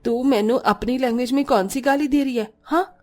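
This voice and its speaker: A woman's voice: high-pitched, moderate pace, lightly acted. A woman playing a peevish, dramatic Punjabi elder sister-in-law who whines and complains indignantly in a cartoon story.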